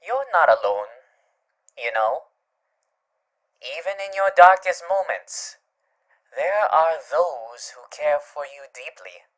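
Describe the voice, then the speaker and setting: Male performer as a theatrical gentleman demon, unhurried, tender, soothing, murmured asides, ASMR comfort roleplay. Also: medium pitch, animated, low-quality recording. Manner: theatrical, soothing, often dropping to murmurs and whispers. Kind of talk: ASMR character roleplay to the listener.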